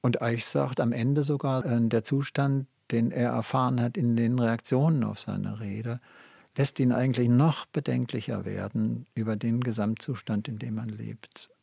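Older man, gravelly and mellow. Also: mid-range voice, steady tone, no room sound, clean studio sound. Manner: halting, searching, emphatic repetition. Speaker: literary scholar, interview guest. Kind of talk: reflective spoken interview answers.

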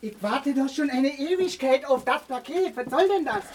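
An actor playing an excitable, bossy village man in a radio drama, with a slangy way of talking. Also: very high voice, normal speed, background noise. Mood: reproachful. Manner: slangy, colloquial, exclamatory, swearing. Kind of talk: naturalistic radio drama dialogue.

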